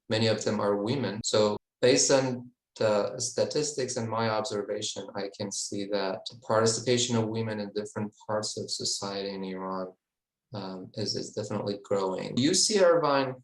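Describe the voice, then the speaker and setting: A man with a fairly low voice speaks at a measured pace, pausing on fillers now and then. The sound is roomy, with noticeable echo. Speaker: assistant professor. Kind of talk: panel discussion.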